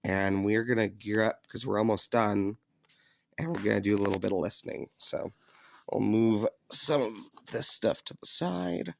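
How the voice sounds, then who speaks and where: A man giving a casual, unscripted hobby demonstration in a low-key, hesitant, conversational way. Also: moderate pace, vocal fry, background noise. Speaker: hobbyist video presenter. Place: indoors in an upstairs room.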